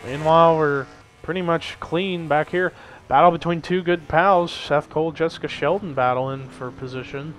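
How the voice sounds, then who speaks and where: A young man with a somewhat high voice runs casual play-by-play on a simulated race. He is chatty and builds excitement toward the finish. The recording carries a faint hiss of noise. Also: normal speed. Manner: chatty, lifts energy at race calls. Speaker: race commentator.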